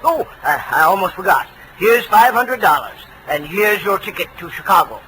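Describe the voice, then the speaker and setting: A man's voice: sits high, lively intonation, moderate pace, sharp tone. A man playing a jovial, slick, pompous lawyer in 1940s radio style, with florid, hemming, old-fashioned speech. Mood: breezy, scheming. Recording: noisy vintage radio recording.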